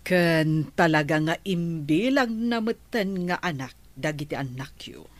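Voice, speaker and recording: An actress playing a friendly middle-aged neighbor woman who chats in an unhurried way, with an Ilocano accent. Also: sits low, animated.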